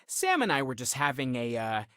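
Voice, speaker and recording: A man's voice: medium pitch, huge pitch swings, normal speed, sharp tone, smooth, no echo, studio quality. A man playing an earnest, curious young space explorer of faith in a video game, speaking thoughtfully and at times hesitantly. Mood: thoughtful, concerned. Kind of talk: game dialogue voice lines.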